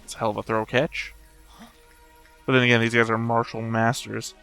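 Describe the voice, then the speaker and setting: A male reaction host talks in a medium-pitched voice. He comments casually and thinks aloud with a wry, musing manner. Music can be heard behind him. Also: level tone, normal speed, gravelly, sharp tone.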